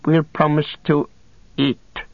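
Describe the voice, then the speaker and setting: A man voicing a wretched, cringing male creature who whines and pleads in drawn-out, hissing words and speaks of himself as "we". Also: a little high, flat delivery, vocal fry, no room sound, narrow, tinny audio, voice pushed up. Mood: whining, pleading. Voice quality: whining, hissing. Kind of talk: audiobook character voice.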